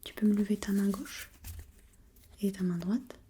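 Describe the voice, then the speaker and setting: Whispering woman, soft and slow ASMR examiner. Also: medium pitch, little pitch movement, slight background noise. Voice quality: breathy whisper. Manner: gentle step-by-step questioning with short encouragements. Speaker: examiner giving tests. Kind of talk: whispered ASMR role-play of a perception and language exam.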